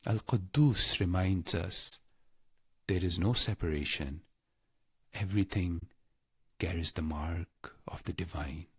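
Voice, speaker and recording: Deep-voiced man leading meditation, slow and husky. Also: dry recording, studio quality. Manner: calm, measured, reverent, with deliberate pauses. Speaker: guided meditation leader. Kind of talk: slow scripted spiritual guided meditation.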